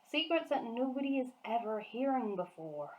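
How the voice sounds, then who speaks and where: A woman's voice: a little high, expressive, normal speed, a little mellow, a little hiss or hum, voice pushed up, close to an everyday voice, poor audio. Woman voicing a big emphatic storybook giant. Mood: suspicious, emphatic. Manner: muddled grammar with invented nonsense words. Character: huge gentle emotional male giant, excitable and dreamy.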